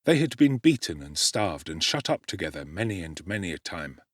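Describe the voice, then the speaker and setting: A man narrates a classic novel in a theatrical, expressive style. His voice sits low but swings widely in pitch. He was recorded on a close studio mic in a dry, dead room. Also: moderate pace, dry recording. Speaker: audiobook narrator. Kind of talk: dramatic audiobook reading.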